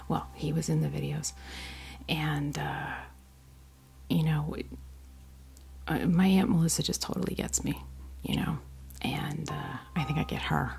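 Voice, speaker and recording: Whispering American woman with creaky breathy voice. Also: low-pitched. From United States. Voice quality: soft, breathy, mostly whispered. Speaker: ASMR video host. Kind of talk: ASMR, casual unboxing chat. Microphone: sensitive close microphone.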